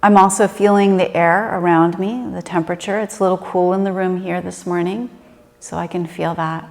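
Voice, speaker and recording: A woman leading a guided meditation in a gentle, unhurried and conversational manner. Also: fairly low pitch, steady tone, gravelly. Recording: reverberant room with long echo.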